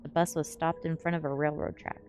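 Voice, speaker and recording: A woman's voice: low-pitched, level tone, fairly quick, darkish tone, a little hiss or hum. A woman reading a novel aloud as ASMR storybook narration.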